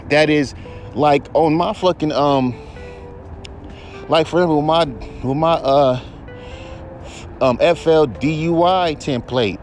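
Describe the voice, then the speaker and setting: Man, baritone, podcast rant, cursing, rambling, heated. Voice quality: deep baritone voice. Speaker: podcast host.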